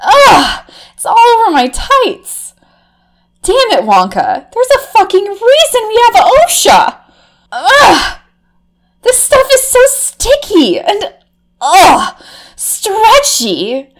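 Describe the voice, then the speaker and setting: A woman voicing a flustered, easily aroused undercover reporter, swinging from hushed murmurs to shrill cursing. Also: full character voice. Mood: shrieking. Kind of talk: comedic erotic audio drama monologue.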